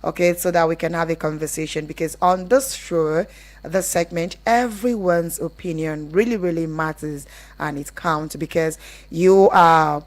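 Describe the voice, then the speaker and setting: A Nigerian woman hosts a live phone-in on the radio. Her voice sits a little low for a woman as she talks to callers and listeners. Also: fairly even intonation, normal speed. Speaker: radio phone-in show host. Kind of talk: live call-in radio hosting.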